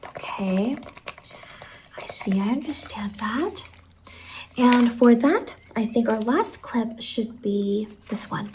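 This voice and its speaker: Soft high-pitched woman doing ASMR roleplay. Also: slight background noise, rough recording. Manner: soft-spoken, often slipping into whisper, soothing and reassuring. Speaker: ASMR roleplay performer playing a salon worker.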